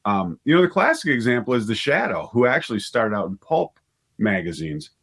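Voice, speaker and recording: A man's voice: medium pitch, sing-song, a little fast, fairly bright tone. A middle-aged man speaking as a guest in a casual interview, enthusiastic and rambling, often repeating words as he thinks aloud. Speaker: interview guest, audio drama writer and director.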